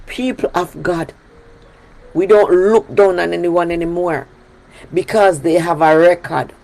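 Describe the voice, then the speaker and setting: A Jamaican woman pastor preaches with an unhurried, deliberate delivery. Her voice carries a gravelly edge, and she drives her points home by repeating key phrases with emphasis. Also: on the low side, steady tone, darkish tone, poor audio. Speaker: pastor leading a prayer broadcast. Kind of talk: sermon.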